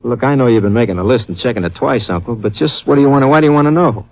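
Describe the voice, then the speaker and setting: A man's voice: medium pitch, racing, natural voice. An actor playing a wry, slangy, easygoing store detective who narrates his own story in 1950s radio drama style. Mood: cool, needling. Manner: slangy, wry tough-guy delivery. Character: wisecracking hardboiled store detective, male, easygoing. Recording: muffled vintage radio recording with music.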